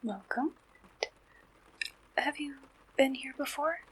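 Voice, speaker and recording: A woman softly performing an ASMR roleplay as a receptionist, gentle, reassuring and unhurried, in a breathy whisper close to the microphone. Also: high voice.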